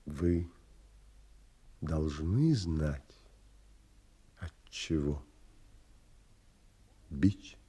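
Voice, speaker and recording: An actor playing an earnest, romantic adventurer who tells his own story in a measured, dramatic audio drama. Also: extremely low pitch, mellow, breathy edge, full character voice. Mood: confessional, anguished. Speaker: actor and narrator. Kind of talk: audio drama with first-person narration.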